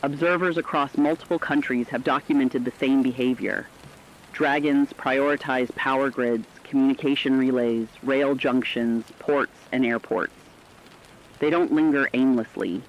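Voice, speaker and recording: A dull, lo-fi radio sound carries a man acting as a serious news anchor of middling pitch. The delivery is formal and measured, made for an apocalypse radio drama, with faint noise underneath. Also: close to an everyday voice. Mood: grave, urgent. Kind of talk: scripted breaking news narration.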